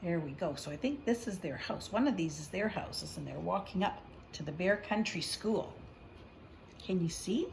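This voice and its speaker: A woman's voice: on the low side, animated, normal speed, creaky, slight background noise, rough recording. A woman reading a picture book aloud, with chatty asides and questions as if to a young child. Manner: conversational, explaining to a young child, gentle questions. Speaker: storybook reader.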